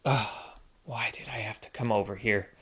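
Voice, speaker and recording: A woman's voice: rumbling low, steady tone, moderate pace, fairly bright tone, husky, pitched below the performer's own voice, heavily acted. A woman voicing a fussy, easily upset girl who whines and huffs. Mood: unsure. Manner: whiny, huffy.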